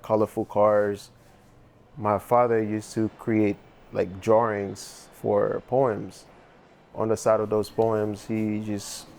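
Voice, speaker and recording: A man's voice: fairly low pitch, gravelly, a little mellow. A young man from Haiti with a Haitian accent, a painter in a documentary interview, speaking reflectively with loose phrasing and many fillers. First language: Haitian Creole.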